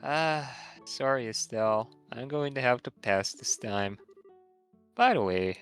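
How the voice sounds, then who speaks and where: A man voicing a calm, experienced woman mentor in an amateur read-through of a video game, measured and serious in delivery. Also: medium pitch, faint music behind. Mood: calm, questioning. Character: calm, experienced older-sisterly woman, seasoned adventurer mentor. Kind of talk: amateur voiced video game dialogue reading.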